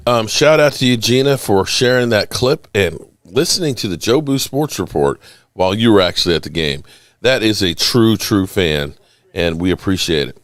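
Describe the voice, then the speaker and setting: A man hosting a casual sports talk show, his voice sore and hoarse, often clearing his throat. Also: fairly low pitch, animated, normal speed, no room sound, studio quality, faint music behind. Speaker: sports podcast host.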